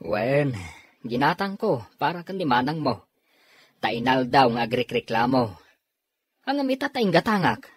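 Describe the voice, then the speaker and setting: Husky low guy a laid-back husband in a radio comedy, really up and down. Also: sing-song, measured pace, pauses often. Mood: easygoing, mildly exasperated. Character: married man, easygoing husband and brother-in-law. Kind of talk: Ilocano radio comedy drama acting.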